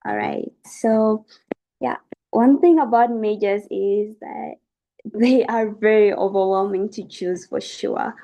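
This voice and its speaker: Young woman, creaky and soft-toned, earnest student presenter encouraging her listeners. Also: mid-range voice, animated, takes it slowly. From South Sudan. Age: young adult. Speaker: student webinar speaker. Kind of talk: informal educational presentation.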